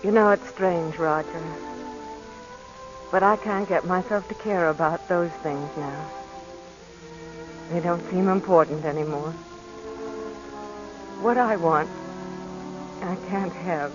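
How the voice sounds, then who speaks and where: A woman's voice: lowish voice, takes it slowly, pauses often. A woman playing a grieving, wistful young wife in 1940s radio drama style. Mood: grieving, listless. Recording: dull old radio recording with music behind.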